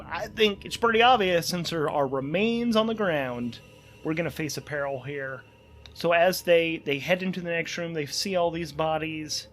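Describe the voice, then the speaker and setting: Male game host, somewhat high pitch, informal, self-correcting, solo tabletop narration, background ambience, some noise. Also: a bit bright. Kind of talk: thinking aloud through a solo tabletop game.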